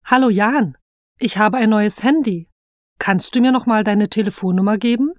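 A young woman reading scripted lines for a language listening exam, slowly and carefully. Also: medium pitch, darkish tone, dry recording, clean studio sound. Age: 20s. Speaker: exam dialogue reader.

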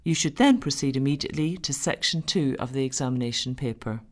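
A woman reading formal listening-test instructions in a measured, scripted way. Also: extremely low pitch. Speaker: exam narrator.

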